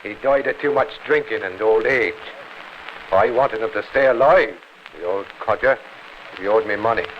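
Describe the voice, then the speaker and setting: An actor playing a grudging, scheming landlord who speaks in hints, in an old radio crime drama. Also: male voice, lowish voice, normal speed, natural voice. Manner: insinuating, hinting. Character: scheming, grudging Irish lodging-house landlord. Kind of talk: 1950s radio drama acting. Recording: muffled, hissy old 1950s radio recording.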